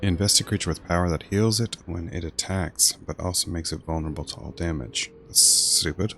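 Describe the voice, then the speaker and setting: Deep-voiced man streaming a game. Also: brisk, bright, forceful tone, a little hiss or hum. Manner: dry, blunt, thinking aloud. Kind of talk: casual gameplay commentary, reading menus aloud.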